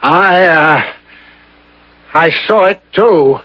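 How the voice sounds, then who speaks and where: Rattled, skeptical professor, slightly high-voiced. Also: male voice, extremely slow. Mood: doubtful. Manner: hesitant, precise, formal. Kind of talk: old-time radio drama acting. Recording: dull vintage radio broadcast.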